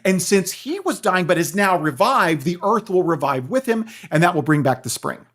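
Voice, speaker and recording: A man talking as a guest expert on a podcast, explaining things in an animated storytelling way and often checking in with the listener. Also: high-pitched, moderate pace. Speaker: guest, philosophy professor and author.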